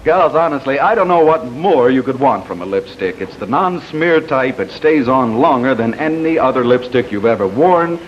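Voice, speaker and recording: An American man hosting a live 1950s television game show, with announcements and sponsor pitches. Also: lively intonation, normal speed, few pauses. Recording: dull, hissy 1950s television broadcast.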